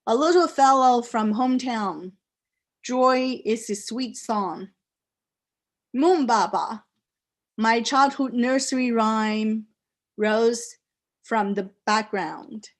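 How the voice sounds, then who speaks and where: A poet whose first language is a Chinese dialect, speaking with a Chinese accent and reading her poems aloud in slow, deliberate phrases with pauses. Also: a little high. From China. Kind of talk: poetry reading. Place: live reading event.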